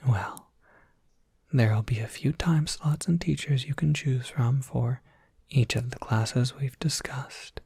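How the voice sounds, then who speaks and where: A person voicing a courteous Cerberus professor in a whispered ASMR roleplay, polite, gently scholarly and prone to rambling, with a soft breathy whisper. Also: medium pitch, takes it slowly, no room sound, studio quality, exaggerated voice. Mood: courteous, welcoming.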